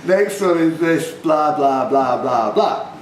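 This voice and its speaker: A man's voice: a little high, animated, slow. Roomy-sounding man hosting a live quiz. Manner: chatty, teasing, jokey asides. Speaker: quiz host, question reader. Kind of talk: reading quiz questions aloud with informal banter. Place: tavern quiz room. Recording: roomy, faintly noisy live venue recording.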